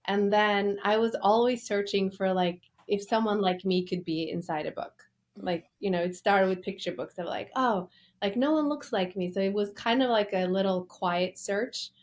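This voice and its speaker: A woman's voice: mid-range voice, normal speed. Brief: woman from Norway, guest author, enthusiastic storyteller, mid-range voice. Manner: enthusiastic storytelling, loose fillers. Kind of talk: interview.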